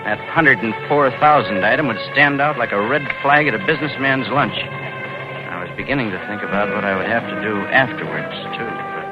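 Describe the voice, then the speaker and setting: A man's voice: on the low side, normal speed, close to an everyday voice. An actor playing a scheming, nervous bank clerk in a 1940s radio drama, confiding his story in a hard-boiled way. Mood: tense, confessional. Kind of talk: 1940s radio drama acting and first-person narration. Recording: muffled vintage radio recording with loud music.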